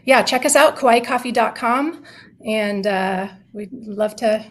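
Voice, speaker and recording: A woman's voice: fairly high pitch, takes it slowly. A woman guest from a coffee company answering questions on a radio show in an upbeat way, with frequent fillers. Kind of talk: interview answers.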